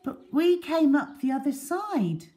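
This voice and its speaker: A woman's voice: high-pitched, sing-song, measured pace, warm tone, pitched above the performer's own voice. A woman voicing a worried, wide-eyed boy in a children's storybook. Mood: indignant.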